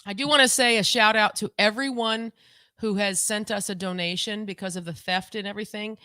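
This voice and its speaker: Woman, casual co-host, rambly and repetitive, mid pitch, dry desk-mic sound. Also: fairly even intonation, a little fast, bright, forceful tone, dry recording. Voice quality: voice lower than usual today. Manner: rambling, chatty, repeats herself. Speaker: podcast co-host. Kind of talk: casual livestream chat. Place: home.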